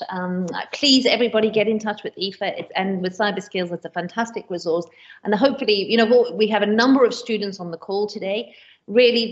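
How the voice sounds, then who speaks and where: A woman, hosting a conference and introducing speakers enthusiastically, with some um fillers. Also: medium pitch. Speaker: conference host and lecturer. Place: conference room with screens. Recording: dull lo-fi conference stream audio.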